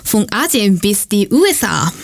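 A woman's voice: medium pitch, very animated, normal speed, gravelly. A woman from Japan co-presenting in simple, careful learner German. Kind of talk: scripted segment reading, learner German.

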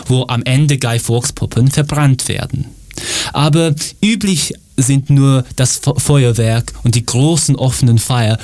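A man's voice: medium pitch, darkish tone, no room sound. A man from Britain reading a scripted piece in learner German, with fillers and restarts.

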